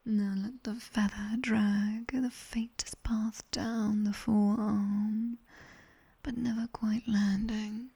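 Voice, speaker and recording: A British woman playing a sharp-tongued nightmare demoness tutor in an ASMR roleplay, whispering breathily and close to a binaural mic with wry, commanding instruction. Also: medium pitch, flat delivery, slow, vocal fry, husky, heavily acted. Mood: soft, instructing. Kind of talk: ASMR roleplay, guided sleep meditation. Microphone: close binaural microphone.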